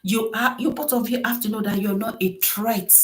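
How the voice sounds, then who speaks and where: A soft-voiced middle-aged woman hosting a podcast, giving earnest solo advice on marriage and often repeating key phrases for emphasis. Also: mid-range voice, steady tone, normal speed. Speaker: podcast host.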